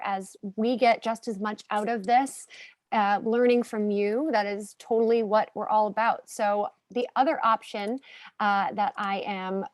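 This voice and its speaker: A woman giving technical support instructions during a webinar in a relaxed, chatty way with many fillers. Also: medium pitch, no room sound. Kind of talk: casual instructions.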